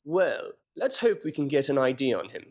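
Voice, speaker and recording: A man playing an eager, admiring Victorian detective inspector, husky and polite, in an audio drama. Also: a little high, big pitch swings, moderate pace, darkish tone. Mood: curious. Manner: polite, deferential.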